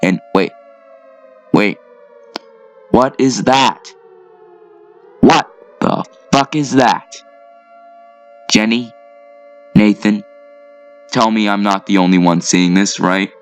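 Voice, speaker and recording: A man plays a male news reporter caught in a disaster drama. His voice sits on the low side and he speaks with urgent alarm. He addresses viewers like a live broadcast. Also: takes it slowly, pauses often, smooth. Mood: shocked.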